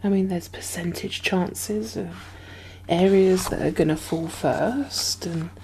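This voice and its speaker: A woman playing a caring, uneasy survivor of a zombie apocalypse in a soft-spoken ASMR roleplay, breathy and hesitant, often slipping into whispers. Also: on the low side, a little hiss or hum, poor audio. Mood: amazed, speculating. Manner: hesitant, musing, halting.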